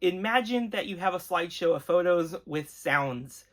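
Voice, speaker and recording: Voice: medium pitch, lively intonation, normal speed. Earnest, conversational review show host. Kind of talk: enthusiastic retro cartoon review, conversational commentary.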